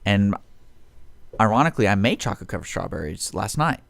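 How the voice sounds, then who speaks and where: A man's voice: fairly low pitch, very animated, fairly quick, gravelly, no room sound. An American man co-hosting a radio show, chatting casually and rambling through anecdotes at a close studio mic. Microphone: close studio microphone.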